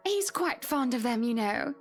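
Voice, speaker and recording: Genteel, high-voiced lady of the house. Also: lively intonation, moderate pace, soft background music. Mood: fond, reflective. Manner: polite, refined phrasing. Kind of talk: video game voice acting.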